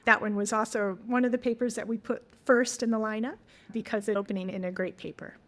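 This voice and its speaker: A woman's voice: mid-range voice, steady tone, fast. A woman guest editor explaining her special issue in an organized, enthusiastic way during an interview. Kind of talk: academic interview.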